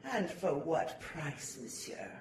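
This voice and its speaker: A man's voice: on the high side, lively intonation, slow. A man playing a remorseful, centuries-old servant in a gothic audio drama, speaking in a brooding, formal, theatrical way. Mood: bitter remorse. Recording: roomy, distant, noisy amateur recording.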